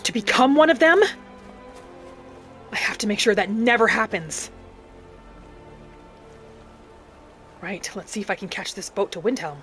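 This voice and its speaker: A woman voicing an earnest, vengeful young battle mage in a dramatic, deliberate first-person fantasy roleplay. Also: medium pitch, big pitch swings, a bit bright, soft background music. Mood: dismayed. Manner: dramatic, deliberate, thinking aloud in character.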